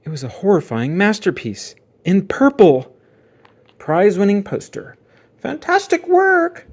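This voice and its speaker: A man narrating a children's book aloud as dramatic storytelling, with theatrical emphasis and suspenseful pauses. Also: high voice, expressive, mellow, slightly rough.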